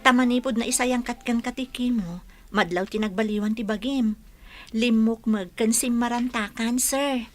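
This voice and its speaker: A woman's voice: a little high, normal speed, natural voice. A woman playing a cheerful, teasing caregiver in a radio drama. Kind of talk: Ilocano radio drama acting.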